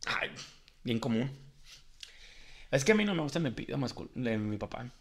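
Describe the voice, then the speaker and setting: Animated young man chatting. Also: mid-range voice, normal speed. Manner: animated, acts out quoted voices, laughs often. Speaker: podcast co-host. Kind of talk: casual chat.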